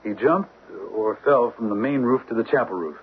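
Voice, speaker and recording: A man's voice: medium pitch, normal speed, lightly acted. A man playing an exasperated, indignant prison official reporting to his superior in an old-time radio drama. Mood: testy. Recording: tinny, faintly hissy old radio recording.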